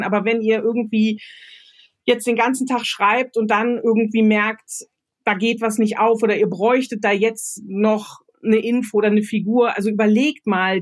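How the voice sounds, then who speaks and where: A woman giving spontaneous, encouraging advice as a guest writer, often searching for her words. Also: mid-range voice. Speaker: guest radio drama author giving advice. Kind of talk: spontaneous advice in casual conversation.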